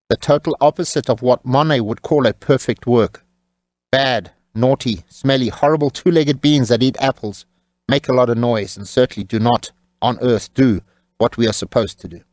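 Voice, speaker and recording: A man's voice: mid-range voice, a little mellow, no echo, clean studio sound. A man narrating an audiobook as its author, in a wry, tongue-in-cheek manner.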